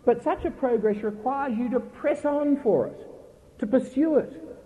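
A middle-aged man preaching a sermon in an earnest, emphatic way with a naturally loud voice, asking his listeners pointed questions. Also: very high-pitched, measured pace. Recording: tinny phone-like recording with faint noise.

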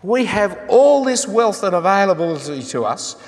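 An older man preaches in a voice pitched a little high, earnest and pressing. He keeps asking his listeners rhetorical questions. His words ring out with the long reverb of a large hall. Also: very animated, moderate pace. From Australia. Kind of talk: sermon.

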